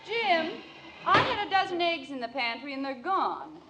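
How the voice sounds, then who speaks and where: A woman's voice: high voice, very animated, moderate pace, over loud music. An actress playing an exasperated, scolding housewife and mother in a 1950s radio sitcom. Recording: hissy, noisy vintage radio recording.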